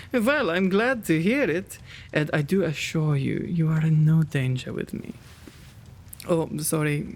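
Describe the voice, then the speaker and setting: A man plays a kind gentleman vampire for an ASMR roleplay. His voice sits a little high and has a creaky edge, and he takes his time with courtly, old-fashioned politeness, gentle and easily flustered. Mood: gentle, apologetic. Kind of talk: ASMR roleplay, intimate one-sided dialogue.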